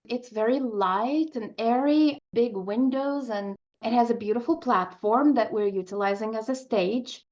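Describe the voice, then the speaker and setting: A woman's voice: on the high side, a little slow, hardly any pauses, a little mellow, highs cut off. A woman speaking as an interview guest, an opera composer in conversation on the radio.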